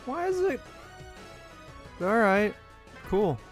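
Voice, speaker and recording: A young man hosting a let's play with casual commentary, his voice a little off from allergies, who swears and rants in sudden excited bursts. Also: high voice, very slow, soft background music. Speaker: gaming video host.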